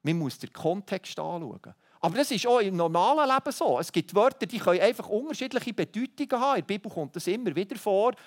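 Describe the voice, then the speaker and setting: A man's voice: high voice, very animated, fast, few pauses. A man preaching a sermon, earnest and teaching, thinking aloud with small restarts. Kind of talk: sermon to a congregation.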